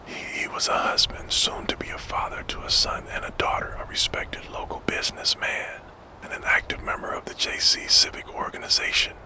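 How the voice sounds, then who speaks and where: A man's voice: fairly quick, poor audio. Whispering male ASMR storyteller. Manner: hushed, gentle, soothing delivery. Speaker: host and narrator of a true crime sleep channel.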